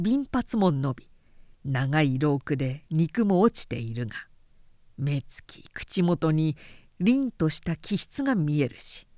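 A woman narrating a literary period story as an audiobook, in a measured, dramatic storytelling manner. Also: lowish voice, warm tone, dry recording, studio quality. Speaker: narrator, announcer.

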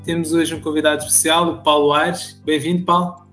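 A man with a European Portuguese accent hosting a podcast, introducing the episode and the guest in a clear, formal way. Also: a little high, little pitch movement, a little fast, pushed and bright, roomy sound, faint music behind. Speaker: podcast host.

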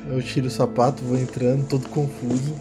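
A man's voice: medium pitch, level tone, brisk, over music, lightly acted, low-quality recording. A man playing a confused, anxious young man in a tabletop roleplay, questioning and protesting.

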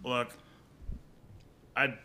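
A man's voice: drawn-out words, sharp tone. A man co-hosting a comedy podcast in casual, crude chat, rambling and stumbling over his phrases.

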